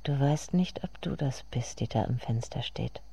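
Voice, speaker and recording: An actor voicing a brooding inner voice in a poetic radio drama monologue, hushed and incantatory. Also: mid-range voice, normal speed, gravelly, breathy edge, in a whisper.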